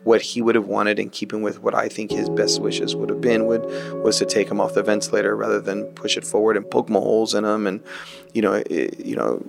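A man giving a personal reminiscence in a halting, conversational way. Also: on the low side, level tone, creaky. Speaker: interviewee remembering his late father. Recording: music bed behind speech.

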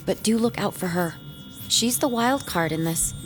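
An actress playing a nervous, secretive unfaithful wife in an audio horror drama. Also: female voice, medium pitch, lively intonation, normal speed, music in the background, natural voice. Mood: scornful, wary. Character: anxious unfaithful wife in a ghost-hunting team, secretive.

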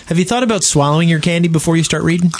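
A man's voice: fairly high pitch, rapid-fire, background noise. A man co-hosting a casual gaming podcast, dry and teasing as he chats. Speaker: podcast co-host. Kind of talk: casual joking chat about a video game.

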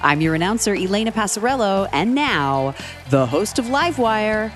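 Female radio announcer, very animated, playful banter. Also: mid-range voice, moderate pace, soft background music. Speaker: radio show announcer and co-host.

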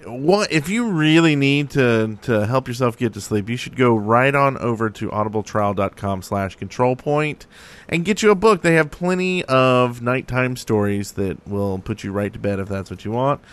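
Man, mid pitch, podcast co-host, casual banter, playful riffing. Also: lively intonation, moderate pace, clear, resonant tone.

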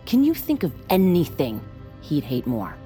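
An actress playing a bitter, grieving woman who is cool, taunting and deliberate, in a scripted audio drama. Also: deep voice, huge pitch swings, warm tone, soft background music. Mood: bitter, purposeful. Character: bitter, grieving woman bent on erasing a man's legacy.

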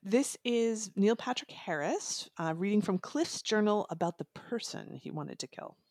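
A woman's voice: mid-range voice, lively intonation, moderate pace, darkish tone, dry recording, studio quality. A woman talking casually and informally about books as a reviewer on a podcast, with a giggly manner. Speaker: audiobook reviewer, podcast guest. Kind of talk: casual, chatty book discussion.

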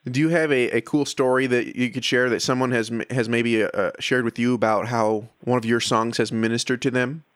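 A man interviewing casually, halting and rephrasing his questions as he goes. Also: medium pitch, steady tone, no room sound, clean studio sound.

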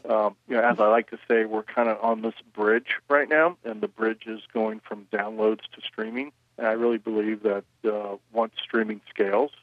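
A man who runs a record label, answering interview questions in a measured way, with frequent "you know" fillers. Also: lowish voice, steady tone, dry recording, narrow, tinny audio.

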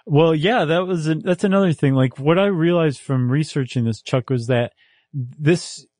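A man's voice: fairly high pitch, moderate pace, a little mellow, no room sound, clean studio sound. A man co-hosting a podcast, musing in casual chat and rambling through restarts as he wraps up a topic. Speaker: podcast co-host. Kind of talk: casual chat, wrapping up an episode.

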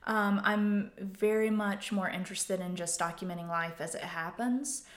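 A woman's voice: mid-range voice, steady tone, moderate pace. Echoey woman in her thirties, chatting. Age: 30s. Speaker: guest, photographer. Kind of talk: casual interview conversation. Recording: roomy, echoey sound.

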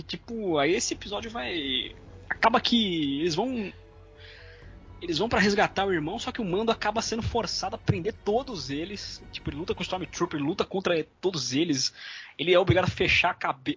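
High-voiced Brazilian man recapping a show. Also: fairly bright tone. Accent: Brazilian Portuguese. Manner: rambling retelling with fillers. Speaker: podcast co-host. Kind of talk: casual chat recapping episodes. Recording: muffled recording with faint music.

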